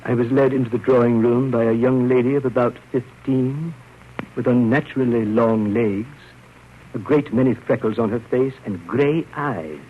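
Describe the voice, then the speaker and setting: Man playing a prim, nervous gentleman, muffled old radio. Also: mid-range voice, a little hiss or hum, natural voice. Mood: prim, anxious. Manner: prim, halting, throat-clearing. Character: nervous, fussy, proper gentleman on a rest cure. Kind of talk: 1930s radio drama acting, first-person narration.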